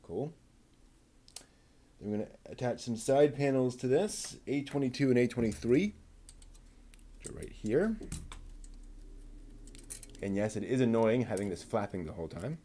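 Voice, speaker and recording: A man giving casual running commentary while he builds a model kit, talking slowly and methodically and half to himself. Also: medium pitch, lively intonation, warm tone. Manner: muttering to himself, slow and methodical.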